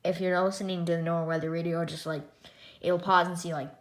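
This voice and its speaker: A woman's voice: lowish voice, little pitch movement, brisk. A woman giving a casual, rambling hands-on gadget demonstration, often reading menu items aloud one by one. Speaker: product reviewer. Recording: echoey room with loud noise and beeps.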